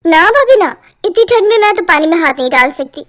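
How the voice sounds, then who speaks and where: Lady playing lazy young cartoon girl, whiny protests, very high voice. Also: animated, a little fast, a bit bright. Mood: whiny, annoyed. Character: whiny, lazy young sister-in-law making excuses.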